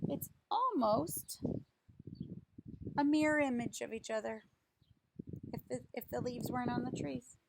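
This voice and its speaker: A woman reading a picture book aloud like a teacher, in a deliberate, instructive way, putting questions to young listeners. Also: on the high side, creaky, background noise.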